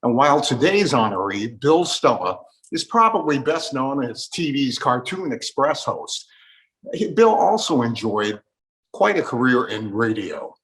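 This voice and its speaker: A man's voice: medium pitch, low-quality recording. A man with an American accent hosting a talk program, delivering scripted introductions like a radio presenter. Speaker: host.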